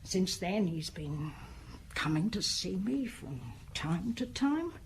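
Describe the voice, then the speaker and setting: An actress playing a blunt, sociable middle-aged widow who keeps a small bar, talking plainly and colloquially in a radio play. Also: female voice, fairly low pitch, sing-song, takes it slowly, a little hiss or hum, heavily acted. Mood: reminiscing. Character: fat, sociable, blunt older widowed woman bar keeper. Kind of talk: radio drama acting.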